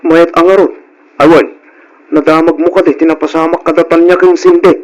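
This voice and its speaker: An actor with an Ilocano accent playing a supportive, teasing husband in a conversational radio drama style. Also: male voice, a little high, flat delivery, moderate pace, close to an everyday voice, rough recording. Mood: curious, teasing.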